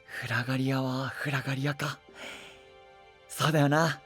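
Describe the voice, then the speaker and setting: A man's voice: mid-range voice, moderate pace, soft background music, close to an everyday voice. A man playing an earnest, boyish, open-hearted young knight in an anime voice drama. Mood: downcast, reflective. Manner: boyish, casual, expressive.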